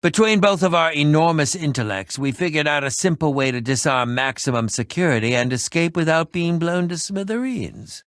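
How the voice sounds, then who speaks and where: A man's voice: on the high side, animated, moderate pace, few pauses, a bit bright, smooth, no echo, clean studio sound. An actor playing an eccentric, self-important man who fancies himself a ninja in a video game, speaking in a pompous, dramatic, wordy manner. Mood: smug, boastful. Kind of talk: animated video game voice acting.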